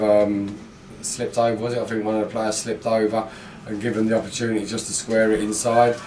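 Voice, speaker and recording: Flat-voiced football manager, echoey room. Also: male voice, lowish voice, distant mic, a little hiss or hum. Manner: frustrated, blunt, rambling with fillers. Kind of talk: post-match interview. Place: small indoor club room. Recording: echoey room, mic set back.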